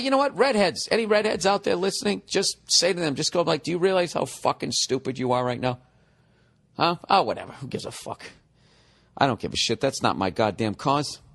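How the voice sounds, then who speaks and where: High-voiced man in his forties, profane ranting. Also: a bit bright. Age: 40s. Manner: mocking, exasperated. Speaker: podcast host. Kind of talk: comedic podcast rant.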